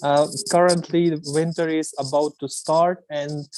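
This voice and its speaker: A man from Afghanistan speaking formally and haltingly, with many fillers. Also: a little high, level tone, darkish tone, low-quality recording. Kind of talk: panel contribution.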